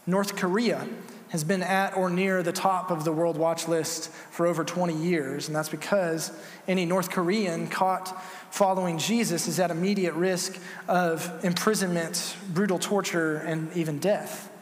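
A man's voice: sits high, fairly even intonation, measured pace. A man preaching a sermon as pastor, earnest and conversational with some fillers. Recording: echoey hall with long reverb.

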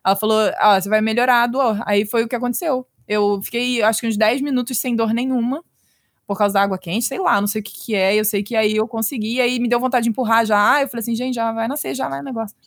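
A woman from Brazil tells a story in a lively, animated way during a casual podcast chat. Her voice is fairly high. The recording sounds dry and close, with no room echo. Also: fast talker. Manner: lively, animated anecdote telling.

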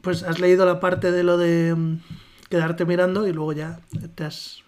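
A woman's voice: on the low side, level tone, normal speed, darkish tone. A woman with a Spanish accent from Spain, co-hosting a podcast in casual, teasing, joking chat and reading out listener comments, swearing freely. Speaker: podcast co-host.

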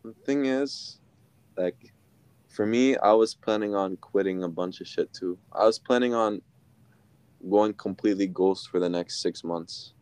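A man's voice: fairly low pitch, unhurried, darkish tone, clean tone, dry recording. A young man co-hosting a podcast, chatting in a laid-back, dry way with casual slang. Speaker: podcast co-host. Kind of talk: casual chat.

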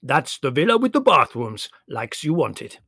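A man's voice: a little high, sing-song, measured pace, sharp tone, husky, dry recording, clean studio sound, full character voice. A man voicing a big, boisterous Greek taxi driver who speaks emphatic broken English in a storybook reading. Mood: proud.

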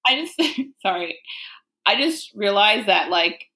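A young woman co-hosting a casual podcast, with a bright voice that swings widely in pitch. She talks slowly and playfully and laughs easily. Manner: playful, expressive, laughs a lot. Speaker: podcast co-host. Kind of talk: casual chat.

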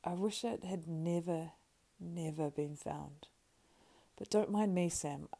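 A woman narrator acts out a tormented hobbit ring-bearer from a fantasy storybook. He is weighed down and dramatic, speaking with halting intensity in a creaky, mellow voice. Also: on the low side, full character voice. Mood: remorseful. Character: burdened, anguished male hobbit ring-bearer.

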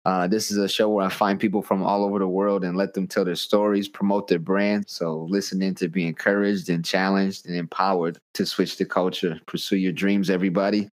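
Podcast host, male, from the US. Low register, casual interviewing, slangy hip hop phrasing. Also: little pitch movement, fairly quick, hardly any pauses. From United States.

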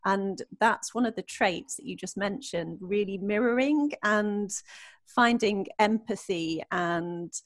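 A woman's voice: medium pitch, takes it slowly. A woman hosting a podcast interview, friendly and encouraging as she reacts and asks questions. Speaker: podcast host. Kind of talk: casual interview conversation.

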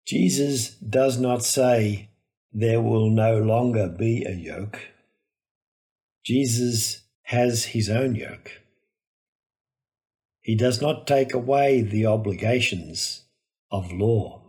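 Guy preaching a homily, lowish voice, really slow and solemn, lots of deliberate pauses. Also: mellow. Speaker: preacher giving a Gospel homily. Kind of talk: reflective scripted sermon.

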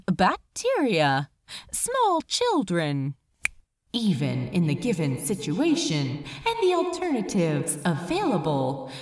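A woman reading a story aloud as a dramatic, expressive narrator, drawing out words for emphasis. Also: on the low side, slightly rough. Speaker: fan fiction reader.

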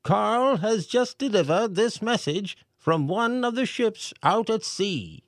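A man's voice: sits high, lively intonation, takes it slowly, no room sound, studio quality, pitched above the performer's own voice, heavily acted. A man voicing a flustered male town mayor in a storybook, reading a warning letter aloud in a raised, urgent voice. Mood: formal.

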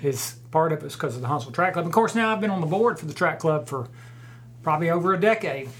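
A man's voice: fairly high pitch, animated, quick pace, a bit bright. A middle-aged man, chatting and asking the guest questions as co-host. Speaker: co-host, club board member. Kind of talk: casual chat.

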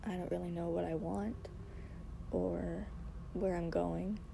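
Hoarse, soft-spoken woman losing her voice. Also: lowish voice, little pitch movement, background noise. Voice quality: raspy. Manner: soft-spoken, halting, reflective, many pauses. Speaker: podcast host. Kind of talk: personal solo podcast monologue.

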